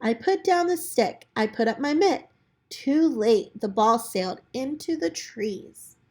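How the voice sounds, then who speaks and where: A woman reading a children's book aloud in an expressive way, as a storyteller talking to children. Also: fairly high pitch, unhurried. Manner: animated character voices for dialogue, chatty asides to children.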